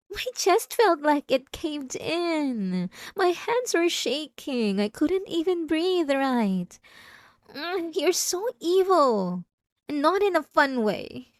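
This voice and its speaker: A woman's voice: sits high, big pitch swings, slow, no room sound, studio quality, full character voice. A woman playing a possessive, obsessive wife in an intimate, emotional ASMR roleplay, speaking straight to the listener. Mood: hurt, scolding. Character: possessive, obsessive yandere wife, clingy and menacing.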